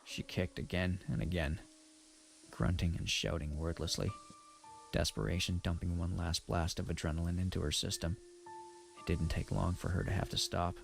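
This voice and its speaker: Dramatic narrator, male actor: ashamed pony worker, low gravelly voice, grave and confessional. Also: moderate pace, faint music behind. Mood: grave, despairing. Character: guilt-ridden adult pony factory worker, ashamed, resigned. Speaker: first-person narrator.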